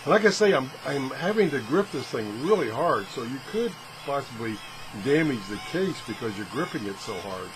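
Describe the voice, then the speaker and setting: Man demonstrating gadgets over clear background noise. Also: medium pitch, normal speed. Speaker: video host reviewing gadgets. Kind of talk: casual hands-on product demonstration. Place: home workshop, indoors. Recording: steady fan and room noise.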